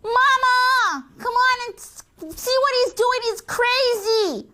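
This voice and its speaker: Storybook big sister, young girl played by a woman: high pitch, aggrieved, exasperated drawn-out complaints. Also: very animated, a bit bright, heavily acted. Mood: indignant. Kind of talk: acted children's story dialogue.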